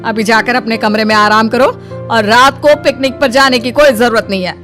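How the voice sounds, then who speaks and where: A woman playing a middle-aged, self-pitying, sarcastic mother-in-law in an animated story, scolding and complaining dramatically. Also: fairly high pitch, fairly quick, faint music behind, natural voice. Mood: resigned. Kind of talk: animated story character dialogue.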